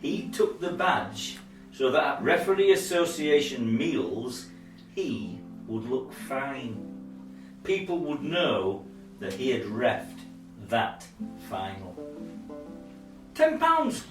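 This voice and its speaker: An actor playing a bitter, brooding narrator in a radio play, deliberate and emphatic. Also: male voice, medium pitch, very animated. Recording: echoey, distant, guitar music over it. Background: plucked guitar music.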